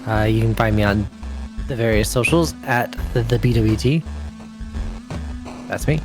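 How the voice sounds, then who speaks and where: Low-voiced man chatting over music. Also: measured pace. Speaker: podcast player. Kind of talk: casual chat.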